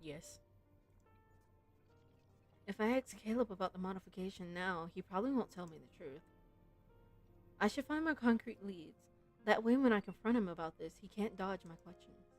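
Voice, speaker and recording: A woman's voice: medium pitch, lively intonation, moderate pace, long pauses. A woman streamer giving casual, musing gameplay commentary and reading story text aloud. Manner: informal, musing, frequent "like". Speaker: game streamer. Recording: game music behind the voice.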